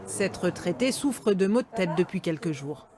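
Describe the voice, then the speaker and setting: A woman's voice: on the low side, animated, normal speed, dark tone. A woman reporter reading scripted narration for a television news report.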